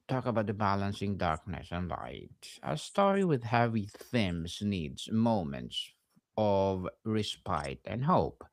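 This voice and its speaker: A low-pitched man hosts a podcast interview, reading his questions at an unhurried, deliberate pace. The sound is dry and close, with no room echo. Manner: slow, deliberate, reads prepared questions. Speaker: podcast host.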